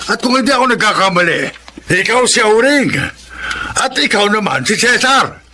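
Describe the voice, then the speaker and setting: A mean older neighbor in a Filipino radio drama, with a high-pitched, piercing voice. He sneers and spits contempt, with noticeable ambience behind him. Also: very animated, moderate pace, sharp tone, a little hiss or hum, heavily acted. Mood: mocking contempt. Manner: sneering, insulting. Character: spiteful, hot-tempered older male neighbor, contemptuous bully.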